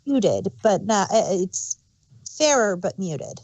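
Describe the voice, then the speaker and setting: A woman's voice: medium pitch, very animated, takes it slowly, darkish tone, no echo. A woman talking casually and conversationally as a podcast host. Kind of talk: casual chat.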